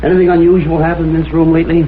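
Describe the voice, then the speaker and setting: A man's voice: on the high side, little pitch movement, very fast, a little hiss or hum, lightly acted. A man with an American accent playing a tough, dogged detective in a vintage noir drama, speaking and narrating in a terse, hard-boiled way. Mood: probing. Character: tough, dogged male photographer detective, wry and stubborn. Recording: thin, tinny vintage broadcast, music behind.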